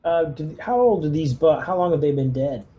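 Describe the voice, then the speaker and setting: A man's voice: on the high side, lively intonation, moderate pace, lo-fi sound. A man playing a tabletop roleplaying game, chatting in a casual, joking way.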